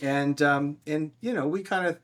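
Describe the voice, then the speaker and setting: A man speaking as a guest loudspeaker maker in a casual product discussion, agreeable and often circling back over his words. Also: on the high side, normal speed. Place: large, minimally treated listening room.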